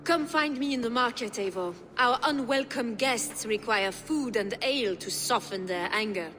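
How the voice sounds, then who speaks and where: Woman playing noblewoman, highish voice, arch, bitter, poised, game cutscene, hall reverb. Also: moderate pace, a bit bright, slight background noise, lightly acted. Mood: brisk, scheming. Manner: arch, dry, elegant phrasing. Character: poised, bitter Frankish noblewoman, unhappy wife. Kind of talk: video game cutscene acting. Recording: long hall reverb, prominent music.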